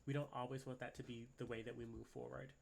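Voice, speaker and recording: A man's voice: mid-range voice, little pitch movement, fast talker, vocal fry, a little mellow, slight background noise. Congested man giving a rambling tarot reading. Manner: rambling, filler-heavy, keeps asking "right?". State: congested from a cold. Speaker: tarot reader. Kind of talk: casual spiritual reading to camera. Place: at a desk indoors.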